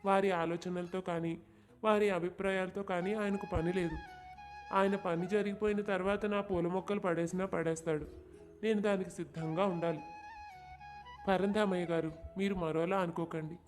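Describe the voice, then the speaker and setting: A man's voice: high-pitched, level tone, normal speed, lightly acted. A man playing a frank, resigned neighbour in a moral story, polite but aggrieved. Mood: frank, reproachful. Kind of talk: dramatized moral story narration. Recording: clear music behind the voice. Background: music.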